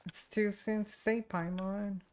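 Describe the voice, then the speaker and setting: A woman's voice: medium pitch, steady tone, measured pace. A woman streaming a video game, giving casual, laid-back commentary and reading game text aloud. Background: soft game music.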